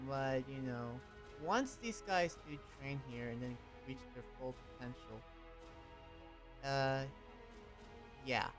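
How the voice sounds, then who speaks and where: Young man roleplaying, halting and filler-heavy. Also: mid-range voice, over music. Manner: hesitant, full of fillers and restarts. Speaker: roleplay player and channel host. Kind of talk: in-character roleplay chat.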